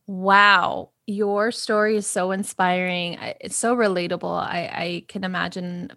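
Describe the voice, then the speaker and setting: A woman's voice: lowish voice, flat delivery, a little slow, creaky, no room sound. Enthusiastic woman podcast host, dry sound. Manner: enthusiastic, admiring, softly reflective. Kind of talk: interview hosting.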